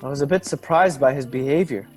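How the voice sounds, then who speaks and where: An actor playing an earnest, courteous young gentleman in a radio drama, troubled and polite. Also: medium pitch, quick pace, soft background music, close to an everyday voice, low-quality recording. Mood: shaken, earnest.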